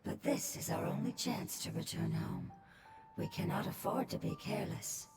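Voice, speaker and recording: An actress voicing a calm, cautious female companion who speaks in a measured, serious way. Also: mid-range voice, over loud music.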